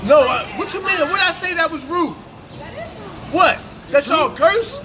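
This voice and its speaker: A man street preaching in a forceful, confrontational way, ending phrases with "man", outdoors on a city street. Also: extremely high pitch, lively intonation, unhurried. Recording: street crowd noise.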